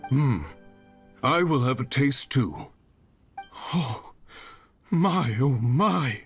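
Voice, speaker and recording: A man's voice: medium pitch, animated, extremely slow, faint music behind. A man voicing a wistful, sentimental character from a bygone era in an anime video game. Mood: moved, nostalgic.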